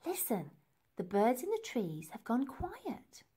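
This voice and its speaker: A woman voicing a bossy, quick-witted young girl in a storybook, speaking in a brisk, emphatic, instructing way. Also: a little high, wildly swooping pitch, dark tone, breathy edge, full character voice.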